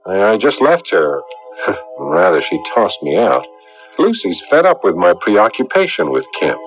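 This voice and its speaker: Hesitant, troubled young fiancé, lowish voice. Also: male voice, huge pitch swings, a little slow, gravelly, faint music behind, heavily acted. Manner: hesitant, stammering. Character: troubled engaged young man, hesitant and earnest. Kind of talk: radio drama acting. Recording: dull vintage radio recording.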